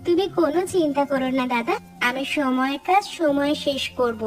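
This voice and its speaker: A woman's voice: sits high, brisk, soft background music, close to an everyday voice. A woman voicing an eager, earnest young college student in cartoon story dialogue. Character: eager young female college student planning a snack stall.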